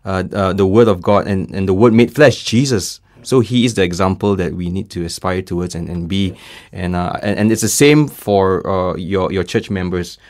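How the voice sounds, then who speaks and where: Low-voiced thoughtful radio host, man. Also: normal speed, a little mellow. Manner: reflective, thoughtful questioning. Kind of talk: conversational interview. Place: studio.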